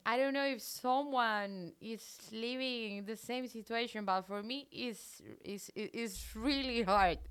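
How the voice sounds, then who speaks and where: Slow, bright Colombian woman hosting radio. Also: mid-range voice, smooth. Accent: Colombian Spanish. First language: Spanish. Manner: halting, searching for English words. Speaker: radio show host. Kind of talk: casual radio chat.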